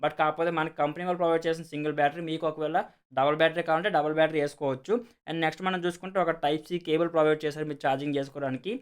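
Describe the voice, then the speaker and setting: A man's voice: fairly high pitch, steady tone, racing, clear, resonant tone. A man with a Telugu accent casually explaining and demonstrating a product as a video host, repeating himself and mixing in many English words. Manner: repetitive, peppers speech with English words.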